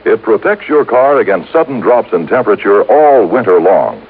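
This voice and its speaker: A man delivering an emphatic, polished sales pitch as an old-time radio commercial announcer. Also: sing-song, normal speed, slightly rough. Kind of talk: 1950s radio advertising pitch. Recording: muffled vintage radio broadcast.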